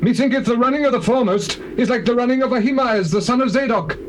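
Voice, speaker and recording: An alert watchman in a scripture drama. He calls out in a very high, quick voice. Slight old-tape noise is audible. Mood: alert calling. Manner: calling out. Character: alert male watchman calling from a lookout. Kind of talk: dramatized scripture, stage-classical acting. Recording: faintly noisy old cassette tape.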